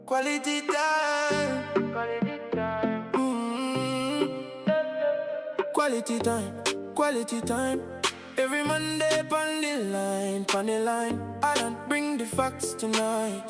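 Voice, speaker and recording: A Caribbean woman with a fairly high voice chants a slow, rhythmic jingle. A loud Afrobeat and hip hop backing track drives under her. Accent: Caribbean English. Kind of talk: sung show jingle over a beat. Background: loud Afrobeat music.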